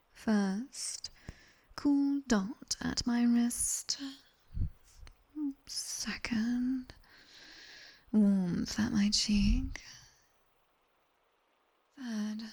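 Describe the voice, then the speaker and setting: A British woman playing a sharp-tongued, strict and teasing demon tutor in a breathy, commanding whisper for ASMR roleplay. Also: a little high, full character voice. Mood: exacting, teasing. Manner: slow, measured, commanding.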